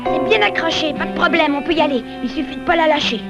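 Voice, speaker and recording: Young beaver hero: high, rapid, earnest and decisive cartoon voice, muffled VHS sound over music. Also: female voice. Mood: determined. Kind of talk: dubbed cartoon acting.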